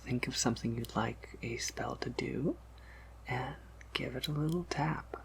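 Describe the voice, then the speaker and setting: A man playing a soft-spoken vampire apothecary in a whispered ASMR roleplay, patiently explaining in a measured, slightly wry and knowing way. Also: mid-range voice, a little hiss or hum. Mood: calm, instructive. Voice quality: breathy whisper with creaky undertone.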